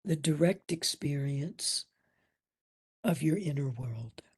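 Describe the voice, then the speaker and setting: A woman leading a guided meditation as instructor, soft and breathy, soothing and gentle, leaving long pauses between phrases. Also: sits low, lively intonation. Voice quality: soft, breathy, at times near whisper.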